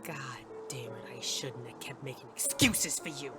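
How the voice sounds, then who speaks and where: A man's voice: medium pitch, moderate pace, pushed and bright, soft background music, natural voice. Blunt hard-boiled detective played by a man. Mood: accusing, angry. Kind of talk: noir audio drama with first-person narration.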